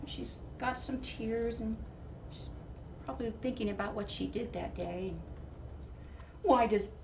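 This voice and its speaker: A woman reading a children's picture book aloud expressively, with dramatic emphasis and hushed asides to her listeners. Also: a little high, measured pace, creaky. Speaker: storybook reader. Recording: distant mic, faint background noise.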